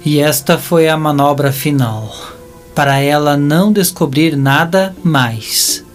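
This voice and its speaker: A man with a Brazilian accent reading scripted story narration for an audio drama. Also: mid-range voice.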